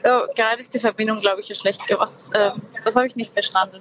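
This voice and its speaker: A young woman from Peru, speaking German in a relaxed interview over a video call, reflective and hesitant, with many pauses and fillers. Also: mid-range voice, very noisy. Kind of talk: casual conversation. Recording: dull lo-fi video call audio.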